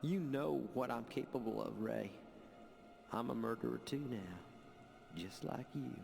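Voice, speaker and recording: An actor playing an anguished, devout youngest brother in a Western video game, earnest and solemn. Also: male voice, mid-range voice, unhurried, gravelly, over music. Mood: defiant. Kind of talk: solemn dramatic game cutscene acting, Western. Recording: long reverb on the voice.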